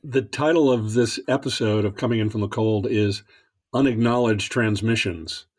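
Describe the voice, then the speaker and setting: Low-voiced man hosting an interview. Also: fairly even intonation, normal speed. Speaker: podcast host. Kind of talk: conversational interview.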